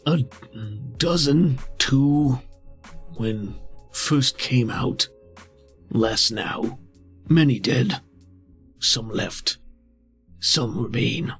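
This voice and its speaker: A man's voice: medium pitch, drawn-out words, pauses often. A man voicing a huge, taciturn scavenger in a tabletop game, speaking in clipped, stilted, formal sentences. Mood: grave, halting. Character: huge taciturn scavenger brute, terse and halting. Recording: music behind.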